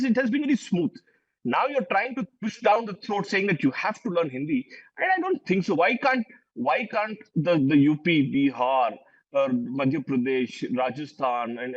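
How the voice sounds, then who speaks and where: A man's voice: high voice, dull audio. A man whose first language is Urdu, arguing emphatically as a panelist in a heated TV debate and repeating words as he presses his point.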